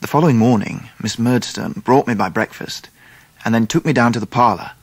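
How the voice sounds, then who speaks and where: Husky English narrator remembering childhood. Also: male voice, medium pitch, dry recording. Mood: reflective. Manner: reflective, earnest, measured. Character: grown man earnestly recalling his painful childhood. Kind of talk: dramatised first-person narration.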